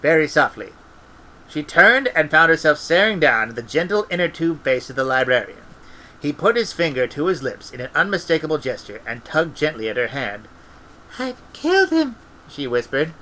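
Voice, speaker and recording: A man's voice: fairly high pitch, lively intonation, brisk, bright, forceful tone, low-quality recording. A man reading a storybook aloud as narrator. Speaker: amateur audiobook reader, former radio presenter. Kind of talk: storybook narration with character voices.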